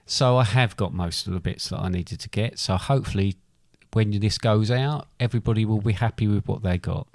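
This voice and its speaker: Low-voiced British man, very dry close sound. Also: fairly quick, hardly any pauses, a little mellow, dry recording. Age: middle-aged. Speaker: podcast co-host. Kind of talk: casual chat.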